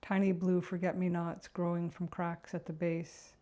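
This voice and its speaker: A woman leads a guided meditation with a soft, dark tone. Her pitch sits on the low side and moves within a narrow, even band. The delivery is gentle and reflective, never rushed.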